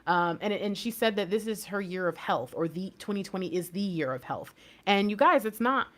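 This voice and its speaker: A woman's voice: medium pitch, lively intonation, moderate pace. Chatty woman giving a tarot reading. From United States. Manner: chatty and rambling, laughs often. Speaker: tarot reader. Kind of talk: casual intuitive reading.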